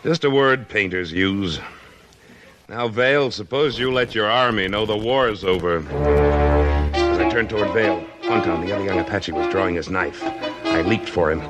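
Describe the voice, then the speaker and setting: An American actor playing a plain-spoken, tolerant Old West hero in a 1950s radio western. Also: male voice, lowish voice, moderate pace, over loud music, lightly acted. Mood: steady, tense.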